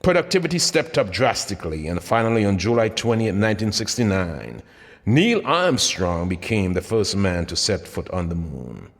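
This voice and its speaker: Low-voiced man narrating in long reverb. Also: very animated, moderate pace. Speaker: author reading own motivational audiobook. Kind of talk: scripted narration, motivational.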